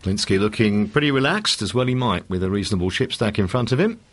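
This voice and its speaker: A man's voice: fairly low pitch, animated, quick pace. A man doing play-by-play poker commentary, setting up hands and player background. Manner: sets up hands and gives player facts.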